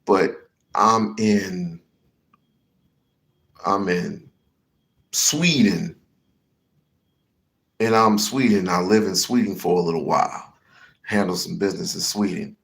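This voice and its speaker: Gravelly male voice, slow deliberate delivery, slangy swearing rant, repeats for emphasis. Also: low-pitched, fairly bright tone. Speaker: commentary show host. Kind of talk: heated commentary rant.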